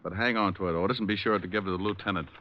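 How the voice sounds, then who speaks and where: An actor playing a wisecracking, unflappable tough private detective with a wry, teasing manner, in mid-century radio drama style. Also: male voice, low voice, fairly even intonation, rapid-fire, slight background noise, close to an everyday voice. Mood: wry, breezy. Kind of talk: 1940s-50s radio detective acting and narration. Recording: muffled old radio recording, music behind.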